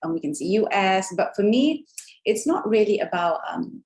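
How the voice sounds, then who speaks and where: A woman speaking as a panelist on a video call, earnest and measured. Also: mid-range voice, expressive, a little mellow. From Malaysia. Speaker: entrepreneur panelist. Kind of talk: virtual panel discussion.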